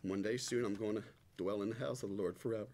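Nervous, low-voiced middle-aged man. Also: fairly even intonation, quick pace, a little mellow. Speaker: church member giving a testimony. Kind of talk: prepared thanksgiving testimony. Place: church sanctuary at a lectern. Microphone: stand microphone. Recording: noisy church hall recording.

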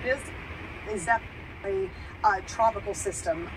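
A woman forecaster delivering a broadcast weather report in an urgent, measured way. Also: medium pitch, noisy background. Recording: TV audio recorded off a set.

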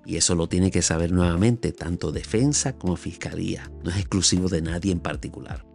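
A man's voice: low-pitched, a little fast, vocal fry, a little mellow, faint music behind. A man in his sixties with a Puerto Rican accent, speaking emphatically into a close studio microphone, scolding his viewers in a solo commentary from a small room at home. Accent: Puerto Rican Spanish. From Puerto Rico. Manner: earnest, scolding, emphatic, talks with his hands. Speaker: YouTube commentator. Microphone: large studio mic on a boom, close up.

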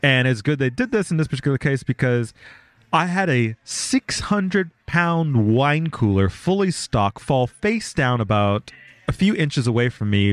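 A man telling stories on a podcast, with a lively voice that swings up and down as he goes. He laughs a lot and swears without a second thought. The sound is close and dry. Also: medium pitch, dry recording. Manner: laughs often, swears freely, animated storytelling. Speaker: podcast co-host. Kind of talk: casual chat, reading out stories.

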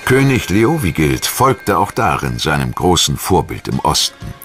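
A man narrates a documentary in an extremely low, creaky voice. His measured, formal reading moves with lively intonation, and music plays underneath. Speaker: documentary narrator and voice-over translator. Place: studio voice-over.

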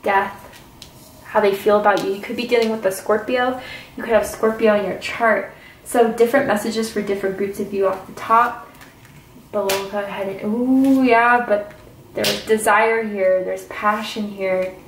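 A woman gives a casual, chatty tarot reading at her table, musing aloud with soft "ooh"s and "mm"s. Her voice sits in a middling range and is picked up from a little distance. The room is echoey, with a faint hiss underneath. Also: medium pitch, steady tone. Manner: chatty, intuitive, drawn-out "ooh"s and "mm"s. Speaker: tarot reader. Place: indoors at a tarot table with crystals and candles. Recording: roomy, distant camera mic with faint hiss.

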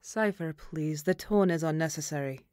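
A woman playing a stern, duty-bound banshee mother in an audio roleplay, speaking formally and gravely. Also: sits low, moderate pace, darkish tone, no echo, clean studio sound, natural voice. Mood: composed.

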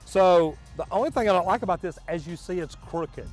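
A man's voice: sits high, fairly quick, a little mellow, soft background music. A middle-aged man, a plumber walking viewers through a building site outdoors and explaining his work in a folksy way, talking straight to them. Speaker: plumber, channel host.

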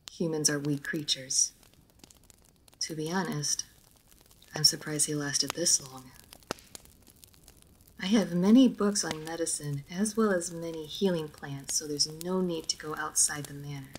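A woman voicing a formal, guarded, melancholy aristocratic vampire in a roleplay audio drama, speaking in measured phrases to the listener. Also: sits low, slight background noise, rough recording.

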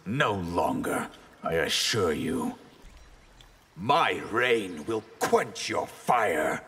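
Ominous mage, slow raspy formal, echoey video game sound. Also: male voice, lowish voice, sing-song, fairly bright tone, exaggerated voice. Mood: ominous, proud. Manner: portentous, formal. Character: proud, ominous hooded mage. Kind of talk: dramatic fighting game dialogue. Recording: reverberant hall-like game mix.

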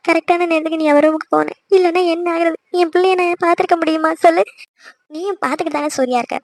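A woman playing an anxious, scolding middle-aged mother in a family drama, fretful and emphatic. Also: high voice. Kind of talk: melodramatic family audio drama.

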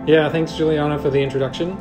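A man's voice: on the high side, fairly even intonation, quick pace, music in the background. A man in his thirties with an Australian accent, talking casually through a tech review as a vlogger. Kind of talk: casual unboxing and review narration.

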